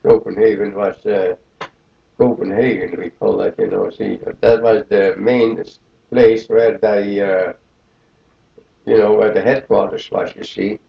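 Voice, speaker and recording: A man, recalling the past in a rambling, halting way full of fillers in an oral history interview. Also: on the low side, expressive, vocal fry. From Netherlands. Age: 80s. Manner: rambling, halting, many fillers like "you see". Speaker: interviewee, war veteran. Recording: roomy lo-fi 1980 tape.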